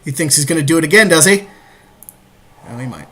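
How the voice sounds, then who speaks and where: A man's voice: on the high side, lively intonation, brisk, bright, forceful tone, soft background music. A man chatting casually as a co-host advising on a card game, mostly in short agreeing remarks. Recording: faint background noise, amateur screen capture.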